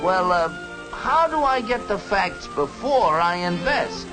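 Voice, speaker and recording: A man's voice: sits high, very animated, slow pace, heavily acted, low-quality recording. An actor voicing a hesitant, cautious American family man with a mild, questioning manner in a 1950s educational cartoon. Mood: curious, unsure. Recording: 1950s film soundtrack with music. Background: music.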